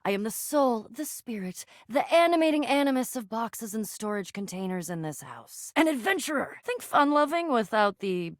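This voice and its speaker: Swooping, grandiose adventurer spirit. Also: female voice, a little high, normal speed, few pauses, no echo, clean studio sound. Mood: boastful. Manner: pompous, verbose, excitable. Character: exuberant, grandiose adventurer spirit of boxes and containers. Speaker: voice actor in a video game. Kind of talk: theatrical game character dialogue.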